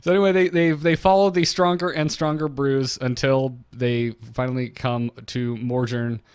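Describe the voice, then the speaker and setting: A man's voice: medium pitch, flat delivery, moderate pace, darkish tone, smooth. A man co-hosting a podcast, talking informally and with enthusiasm as he recaps and discusses game lore as a fan. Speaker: podcast co-host.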